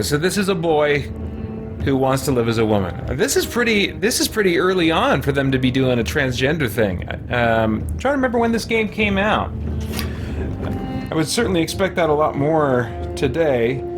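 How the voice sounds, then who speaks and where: A middle-aged man giving casual live let's-play commentary, musing and thinking aloud in halting asides. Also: fairly high pitch. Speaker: game streamer. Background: game music.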